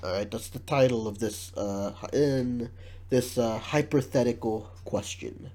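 A man's voice: medium pitch, unhurried, warm tone. A man with a nasal, congested voice, hosting a casual podcast and reading questions aloud between jokes and laughs. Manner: jokey, laughs often, reads questions aloud. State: congested, sick. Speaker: podcast host.

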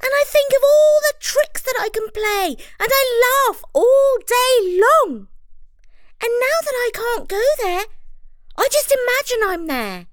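A woman voicing a small, cheeky, giggly trickster monkey in a children's story, with a squeaky, sing-song delivery. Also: sing-song, unhurried, dry recording, exaggerated voice. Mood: gleeful.

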